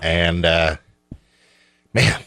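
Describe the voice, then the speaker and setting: A man's voice: low-pitched, completely flat delivery, drawn-out words, sharp tone, no room sound, studio quality. A man hosting a radio show, chatting casually in a joking, teasing way. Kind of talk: casual chat.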